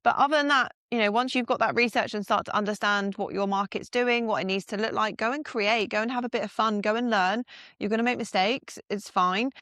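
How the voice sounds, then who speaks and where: Brief: female podcast co-host, frank and upbeat, mid-pitched voice, dry close mic. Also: fairly quick, fairly bright tone, no echo. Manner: frank and upbeat, swears casually. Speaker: podcast co-host, fitness business owner. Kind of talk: casual podcast chat.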